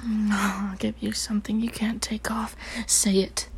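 A woman speaking in a teasing, commanding tone, mostly in a breathy whisper, for roleplay audio. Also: medium pitch, level tone, measured pace, slight background noise.

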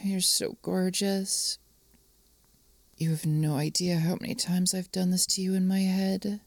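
A woman playing an affectionate, flirtatious lover in an intimate adult audio roleplay, breathy and often dropping into whispers. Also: lowish voice, little pitch movement, darkish tone, dry recording. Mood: sensual, teasing. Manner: slow, lingering, tender delivery with pet names.

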